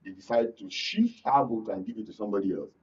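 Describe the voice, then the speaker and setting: A Nigerian man speaking as a guest in a political panel discussion, halting and repeating words for emphasis. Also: fairly low pitch, darkish tone, husky. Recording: dull, slightly distant studio sound.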